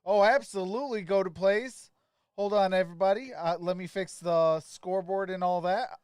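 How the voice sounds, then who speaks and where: A man's voice: high-pitched, moderate pace, a little mellow, smooth, no room sound. A man doing play-by-play for a basketball livestream and chatting with viewers, recorded dry and close.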